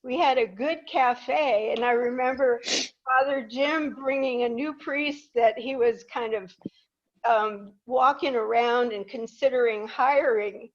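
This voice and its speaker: An older woman from Peru tells stories to a live audience in an unhurried way, with a slightly high voice. She is chatty and self-deprecating. The recording is dull and lo-fi. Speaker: guest speaker. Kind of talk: anecdotal storytelling to a live audience.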